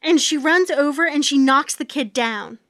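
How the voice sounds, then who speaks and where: Woman reading as a high-voiced sarcastic sitcom wife. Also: moderate pace, sharp tone, dry recording, studio quality. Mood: animated, fond. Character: animated sitcom wife and mother, sarcastic with husband. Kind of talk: sitcom script table read.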